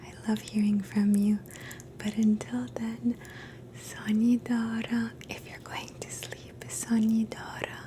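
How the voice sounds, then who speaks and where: A woman in a soft, gentle, mostly unvoiced whisper, slowly teaching phrases in a relaxing language lesson and repeating each one. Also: a little high, little pitch movement. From Canada. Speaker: ASMR host teaching phrases.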